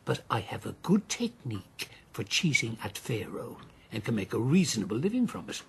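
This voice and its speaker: Genial rogue gambler for radio drama: avuncular, lively intonation, fry in the voice. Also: male voice, medium pitch, moderate pace, heavily acted. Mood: smooth, confiding. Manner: suave, avuncular, theatrical. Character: roguish, genial gentleman uncle, a card-sharp and gambler.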